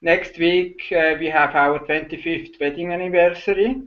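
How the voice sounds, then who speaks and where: A man's voice: on the high side, fairly even intonation, dull audio. A middle-aged man from Switzerland, a Swiss German native speaker with a Swiss German accent, talking hesitantly on a video call as a guest. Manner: hesitant, halting, many uh fillers. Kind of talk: casual video call chat.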